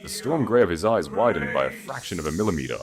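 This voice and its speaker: A man's voice: deep voice, big pitch swings, fast, over music. A man reading a scripted romance audiobook in a solemn, dramatic way. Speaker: narrator.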